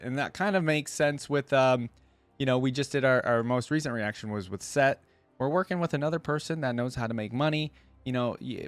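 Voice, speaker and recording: A man's voice: mid-range voice, no echo. A man hosting a reaction video, reading lore aloud and musing over it in a casual, conversational way.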